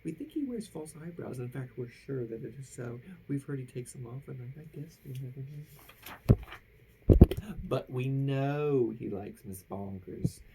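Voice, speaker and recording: Animated, creaky-voiced woman reading a storybook. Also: very deep voice, heavy background noise. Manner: theatrical, drawn-out emphasis on phrase endings. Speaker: storybook reader. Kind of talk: expressive read-aloud of a children's rhyming picture book.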